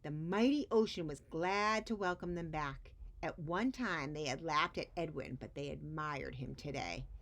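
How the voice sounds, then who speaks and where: A woman reading a picture book aloud to children expressively, with dramatic pauses and emphasis on key words. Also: deep voice, fairly bright tone. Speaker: children's story reader.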